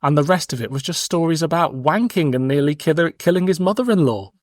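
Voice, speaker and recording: A man's voice: a little high, fairly quick, no echo, studio quality. British man in his forties, chatting casually. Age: 40s. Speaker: podcast host. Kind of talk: casual chat.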